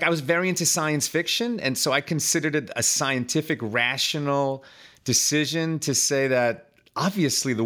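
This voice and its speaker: A man's voice: medium pitch, a bit bright. A man in his fifties from Chile, a podcast guest telling his life story in a loose, conversational way, full of fillers and repeated words. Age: 50s.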